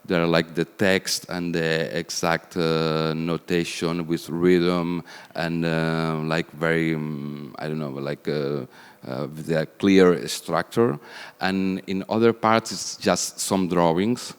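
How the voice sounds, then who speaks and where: A man's voice: deep voice, little pitch movement, slow, clear, resonant tone. A man explaining his composition, speaking hesitantly with many fillers. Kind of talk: panel talk. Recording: reverberant hall.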